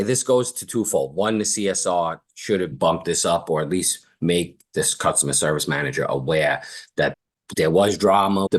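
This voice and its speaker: Male podcast host, extremely low voice, informal and conversational, recounts anecdotes and quotes people. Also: husky.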